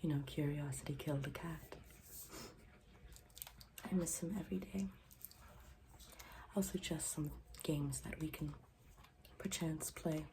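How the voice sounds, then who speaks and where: Woman as a gloomy deadpan schoolgirl, dark mellow low voice, half-whispered ASMR, formal wording, faint background noise. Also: unhurried, long pauses. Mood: formal, ominous. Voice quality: soft, breathy, often dropping into whisper. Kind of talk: ASMR roleplay.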